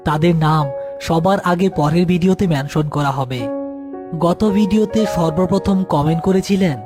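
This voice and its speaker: A man's voice: on the high side, moderate pace, music in the background. A man reading scripted narration for a horror story as narrator and channel host.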